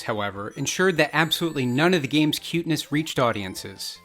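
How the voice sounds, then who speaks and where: A man delivers scripted video-essay narration in a slightly high voice. Soft music plays behind him as he reads. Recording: music bed under the voice. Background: background music.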